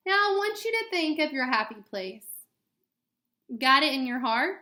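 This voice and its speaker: A woman with a fairly high voice reads a children's book aloud like a schoolteacher. Her delivery is expressive and aimed at young listeners, and the room adds a clear echo around her words. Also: moderate pace. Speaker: elementary school teacher. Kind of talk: reading a children's picture book aloud with asides to students. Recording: echoey room sound.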